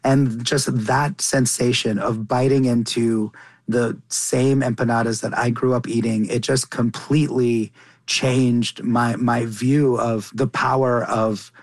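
A man's voice: medium pitch, flat delivery, slow pace, no room sound. A man from Qatar chatting casually as an interview guest, earnest and often rephrasing himself as he goes. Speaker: interview guest, chef and cookbook author.

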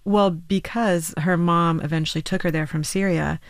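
Woman in her thirties, musician guest, slight vocal fry, dry close sound, thoughtful and hesitant. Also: lowish voice, fairly even intonation, moderate pace, no room sound. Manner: reflective, hedging with many restarts and "like". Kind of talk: radio interview.

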